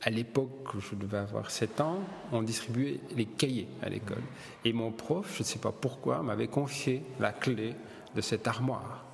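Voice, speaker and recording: A man's voice: medium pitch, moderate pace, gravelly, a little hiss or hum. A middle-aged man from Belgium, a gallery owner and publisher, telling stories in an interview with many hesitations and restarts. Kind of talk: relaxed interview storytelling. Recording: long reverb, faint ambience.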